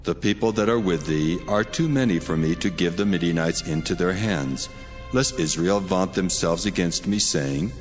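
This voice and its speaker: A very deep divine voice rolls through long reverb, acted by a man. It is solemn, measured and commanding, as in a dramatized scripture recording, with music underneath. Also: close to an everyday voice. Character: God, an authoritative commanding male divine voice.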